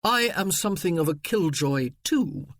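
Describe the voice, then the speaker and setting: A man voicing a tall, cold lord who speaks slowly with dry wit. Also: on the high side, lively intonation, fairly bright tone, dry recording.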